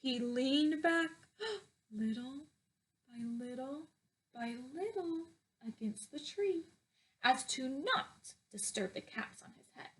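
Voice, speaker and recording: A woman teacher reading aloud to young children, expressive and exaggerating her emphasis. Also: high voice, takes it slowly, rough recording.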